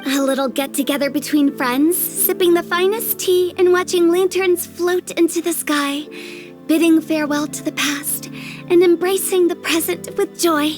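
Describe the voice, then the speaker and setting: A woman's voice: very high voice, steady tone, normal speed, darkish tone, faint music behind. A woman voicing a playful, cheeky teenage girl with a theatrical, teasing manner in a game dub. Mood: breezy. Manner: theatrical, teasing, self-important. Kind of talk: game cutscene dub acting.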